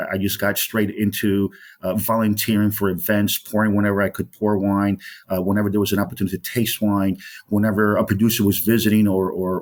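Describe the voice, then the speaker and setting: A middle-aged man from Colombia, a wine specialist being interviewed, talking in a rambling way with many fillers and restarts. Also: lowish voice. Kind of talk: interview conversation.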